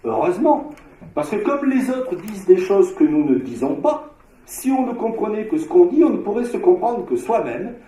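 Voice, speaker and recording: A man from Marseille giving an academic conference lecture, discursive, with frequent filler pauses and restarts. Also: sits high, big pitch swings, dark tone, reverberant, rough recording. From Marseille, France. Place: lecture hall.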